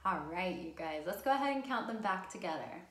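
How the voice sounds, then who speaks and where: A woman leads a storytime for small children with very lively, swooping intonation. Her delivery is encouraging and sing-song as she talks straight to the kids. The sound is roomy and echoing. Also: medium pitch, brisk, a little hiss or hum. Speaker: children's storytime host at a library. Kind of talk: interactive storytime for young children.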